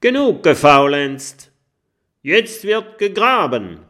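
Ein Mann spricht einen tyrannischen Piratenkapitän, der herrisch brüllt. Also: male voice, lowish voice, measured pace, a bit bright. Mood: bellowing. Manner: bellowing, bossy. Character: loud tyrannical male pirate captain.

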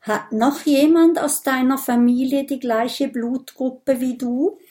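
A woman's voice: high-pitched, steady tone, slow, warm tone, voice pushed up. A woman voicing a small vampire boy in a children's story, earnest and matter-of-fact. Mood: sad, earnest.

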